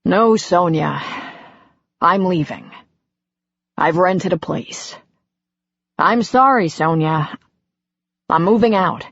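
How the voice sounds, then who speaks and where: A woman's voice: fairly low pitch, slow, long pauses, a bit bright, no echo, lo-fi sound, full character voice. A woman voicing an exasperated middle-aged husband who is walking out, bitter and swearing in heated outbursts. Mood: fed up.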